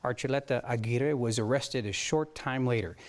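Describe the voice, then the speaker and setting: A man's voice: mid-range voice, normal speed. A man with an American accent anchoring the news, moving between broadcast delivery and light studio banter.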